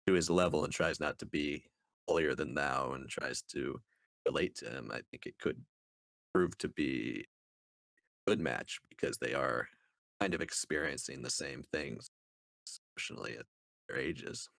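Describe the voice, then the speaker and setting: Male podcast co-host, extremely low register, dry studio-like sound, conversational analysis. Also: measured pace, vocal fry, pauses often, fairly bright tone, no echo. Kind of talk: casual book review discussion.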